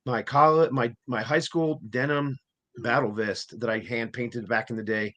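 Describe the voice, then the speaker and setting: A man in his fifties with a bright, forceful voice, chatting casually on a talk show. He tells rambling, excited stories and restarts often. His voice keeps dropping in and out. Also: mid-range voice, normal speed. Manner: rambling, enthusiastic storytelling with restarts. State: voice going in and out. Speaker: podcast co-host. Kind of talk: casual chat.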